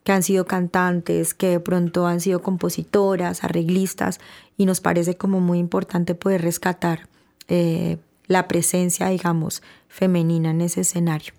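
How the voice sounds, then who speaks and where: A woman from Colombia talking as a guest on a radio show about salsa, relaxed and hesitant with many fillers. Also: on the low side, moderate pace, creaky. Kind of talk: casual radio interview. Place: radio studio.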